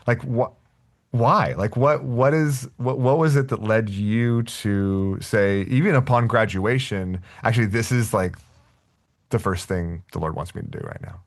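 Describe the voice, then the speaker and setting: A man's voice: mid-range voice, unhurried. A man, a pastor, hosting a casual church interview, asking questions with many stammered restarts.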